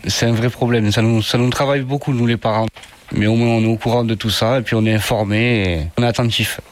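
Middle aged dad answering kids questions, easygoing and simple. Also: male voice, medium pitch, steady tone, fast talker, a little hiss or hum. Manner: simple, friendly, speaks to children. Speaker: interviewee, parent. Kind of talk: casual interview answers.

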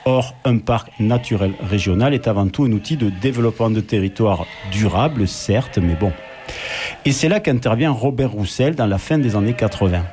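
A man, telling a personal story as a read-out radio column, measured and wry. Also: fairly low pitch, mellow, soft background music.

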